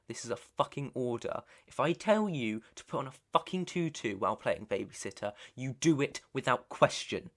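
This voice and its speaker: A British man playing a controlling, possessive young mafia heir in an ASMR roleplay, deliberate and commanding, with menace under the coaxing. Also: medium pitch, a bit bright, clean tone, close to an everyday voice. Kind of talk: ASMR roleplay, second-person dramatic acting.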